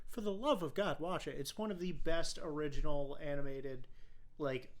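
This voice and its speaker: A man's voice: on the high side, moderate pace. A young man hosting a casual film podcast, talking in an enthusiastic, rambling way. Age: young adult. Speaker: podcast host.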